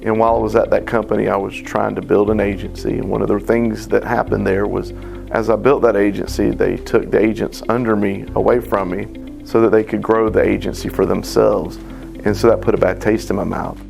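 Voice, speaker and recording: A veteran insurance agent telling his story in a flat, matter-of-fact way. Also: lowish voice, fairly quick, vocal fry. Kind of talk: testimonial. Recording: background music.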